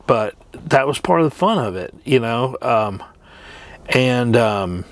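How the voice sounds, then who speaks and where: A middle-aged man chatting casually as a trucker vlogger, rambling and reminiscing with frequent hesitant pauses. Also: fairly low pitch. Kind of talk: casual rambling chat to camera.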